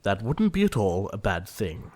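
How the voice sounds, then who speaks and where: Man playing a proper, animated young gentleman. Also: fairly low pitch, normal speed. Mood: curt, exasperated. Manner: formal, indignant, precise. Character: earnest, exasperated young upper-class gentleman, stuffy and proper. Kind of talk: audio play acting, period comedy.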